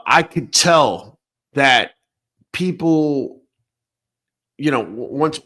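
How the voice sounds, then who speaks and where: A man's voice: mid-range voice, a bit bright. A man born in Venezuela, hosting a late-night talk show and giving blunt, profane opinions in a casual ranting way. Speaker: host.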